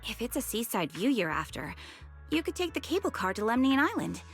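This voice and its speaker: A slightly high game voice plays a polite service attendant. She is apologetic and procedural, with faint music in the background. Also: sing-song, fairly quick. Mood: helpful, polite. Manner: courteous, procedural, customer-service. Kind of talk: video game voice acting.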